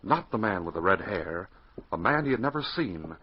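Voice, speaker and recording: A man's voice: low voice, big pitch swings, normal speed, breathy edge. A man with a North American accent announcing and narrating a 1940s radio adventure in a dramatic, emphatic way. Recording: muffled, hissy 1940s radio recording.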